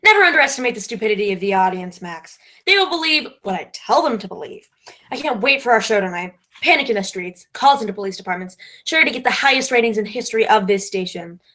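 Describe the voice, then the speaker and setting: A woman's voice: mid-range voice, animated, quick pace, bright, forceful tone. A woman playing a pompous, bossy radio playwright who thinks herself a genius, speaking in a grandiose, imperious, emphatic way in a theatrical comedy. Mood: grandiose, scheming. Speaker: actress in a stage comedy. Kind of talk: theatrical stage comedy acting.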